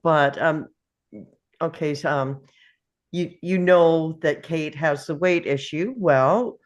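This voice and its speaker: Deep-ish slow talking lady, thoughtful, lots of hesitating, just chatting about a tv series. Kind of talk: casual group discussion of a TV series.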